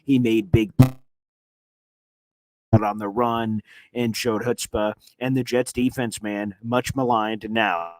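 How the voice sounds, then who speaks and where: A man whose voice is nearly gone from screaming hosts a live sports reaction stream from a hotel room. He talks in an excited, rambling way, full of "uh"s and restarts. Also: lowish voice, takes it slowly, pauses often, no echo. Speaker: sports reporter and livestream host. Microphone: streaming setup on weak hotel wifi.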